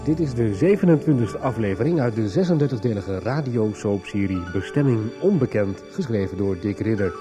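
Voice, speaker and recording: A man's voice: lowish voice, animated, a little fast, few pauses. A man reading a scripted recap as the announcer of a Dutch radio soap. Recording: clear music bed behind voice.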